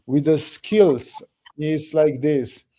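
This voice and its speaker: A man leading a project, explaining it to a group haltingly, with restarts and fillers. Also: fairly high pitch, dark tone. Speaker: project leader answering questions.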